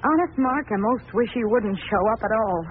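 A woman's voice: a little high, a little fast, lightly acted. An actress playing a poor, sentimental country mother in a 1940s radio drama. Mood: wistful, sad. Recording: tinny, faintly noisy old radio recording.

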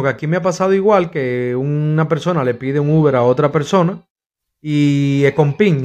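A man hosting a podcast, chatting casually and repeating phrases for emphasis. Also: on the high side. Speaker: podcast host. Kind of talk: casual interview chat.

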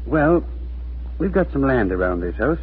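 A man's voice: mid-range voice, very animated, moderate pace, slightly rough. An actor playing a good-natured middle-aged family father in a 1940s radio drama, homely and reassuring. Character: good-natured, steady family father running a service station. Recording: tinny, noisy 1940s radio recording.

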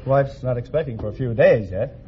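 A man's voice: medium pitch, brisk. A young American man answering a host's questions as a quiz show contestant. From San Francisco, United States. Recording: noisy muffled old radio broadcast.

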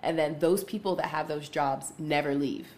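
Woman, 30s, low voice, podcast guest, casual, laughing, faint background noise. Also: moderate pace, a little mellow. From Pittsburgh area, United States. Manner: laughs often, many fillers like "um" and "like". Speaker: podcast guest, veterinary nurse.

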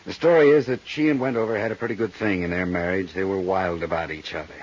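A man playing a big, easygoing American accountant in his late forties in a 1950s radio drama, husky and chatty, with a folksy, rambling manner. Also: deep voice, fast, a little hiss or hum, natural voice. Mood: chatty, explaining. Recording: dull, muffled 1950s radio recording.

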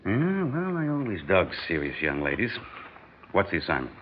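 A man's voice: low voice, huge pitch swings, moderate pace, a little hiss or hum. A brash wisecracking PR man. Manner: brash, sarcastic, flirty. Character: wisecracking male public relations man. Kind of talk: 1960s radio drama acting. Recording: dull lo-fi old radio recording.

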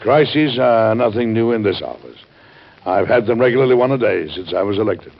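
Gravelly, dignified statesman. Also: on the low side, expressive. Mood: resolute. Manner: formal, measured phrasing. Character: dignified, courteous, kindly but firm male statesman. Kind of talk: old-time radio drama acting. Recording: dull, band-limited old radio recording.

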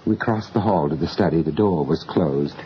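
An actor playing an earnest, courteous gentleman investigator recounting a horror tale in an old radio drama, sometimes hesitant and always dramatic. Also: rumbling low, normal speed. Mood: ominous narration. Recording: muffled, noisy old radio drama recording.

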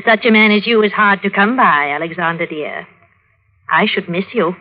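An actress playing a genteel, serenely composed and politely bossy middle-aged wife in an old-time radio drama. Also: female voice, mid-range voice, fairly even intonation, normal speed, natural voice. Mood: gracious, composed. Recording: dull vintage radio recording.

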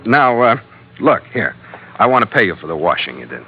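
A man playing a gruff, dry-witted ship's skipper and narrator in an old-time radio adventure, with a wry, clipped delivery. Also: lowish voice, very animated, normal speed. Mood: exasperated. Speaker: lead actor and narrator. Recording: muffled vintage radio recording with music.

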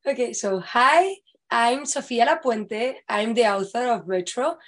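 A woman's voice: a little high, measured pace. A young woman from Spain with a Spanish accent, a novelist guest on a podcast, speaking English cheerfully. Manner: cheerful, brief affirmations. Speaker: author guest.

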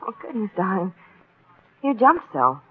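An actress playing a breezy, attentive wife in a 1940s radio drama, with a chatty, affectionate manner. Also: female voice, mid-range voice, big pitch swings, unhurried, breathy edge. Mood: light, concerned. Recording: tinny old radio recording.

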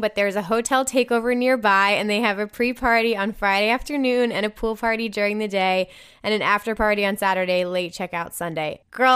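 Effusive young New Jersey woman, clear voice. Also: medium pitch, a bit bright. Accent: New Jersey American. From New Jersey, United States. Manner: effusive, girlish slang, casual swearing. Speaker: podcast host. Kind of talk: casual chat, reading listener emails and reacting.

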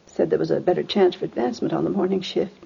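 Husky, fragile mother in her sixties. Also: on the low side, fairly even intonation, fast talker, warm tone, heavily acted. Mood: subdued, wistful. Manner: polite, hesitant, emotional. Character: gentle, grieving mother in her mid sixties. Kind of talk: 1950s radio drama acting. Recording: muffled vintage radio recording.

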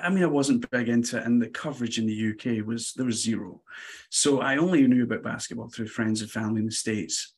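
A man's voice: mid-range voice, slightly rough. A Scottish man in his forties with a Glasgow accent, a podcast guest casually telling stories about himself. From Scotland. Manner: relaxed, chatty, laughs lightly. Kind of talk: casual interview storytelling.

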